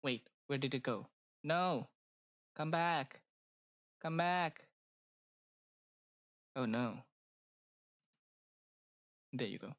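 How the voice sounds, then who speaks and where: A woman's voice: extremely low pitch, expressive, drawn-out words, pauses often, dry recording, studio quality. A woman streamer with a stuffy nose chatting casually and rambling, with lots of fillers and hesitations. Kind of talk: casual live-stream chat.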